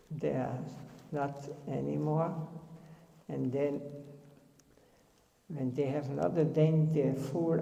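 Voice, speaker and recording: Male spiritual teacher, deep mellow gravelly tone, unhurried halting sermon, roomy reverberant hall sound. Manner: slow, halting delivery with many fillers and restarts. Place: temple hall. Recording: echoey hall, long reverb.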